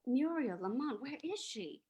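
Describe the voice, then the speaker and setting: A woman's voice: high-pitched, animated, moderate pace, mellow, husky. A woman playing an anxious, loyal young lady companion to a detective, exclamatory, in an old-time radio play.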